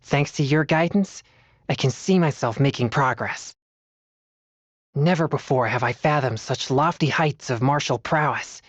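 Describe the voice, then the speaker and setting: A man voicing an earnest, disciplined teenage boy exorcist in a video game, speaking in a formal, measured and slightly bashful way. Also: medium pitch, no echo, highs cut off, lightly acted. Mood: earnest, resolute. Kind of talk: video game character voice lines.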